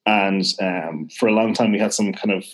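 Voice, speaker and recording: A man's voice: lowish voice, moderate pace. A man with a mild Irish accent, chatting as a podcast guest, hesitant with many fillers and restarts. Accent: mild Irish (Dublin). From Ireland. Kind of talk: casual interview chat.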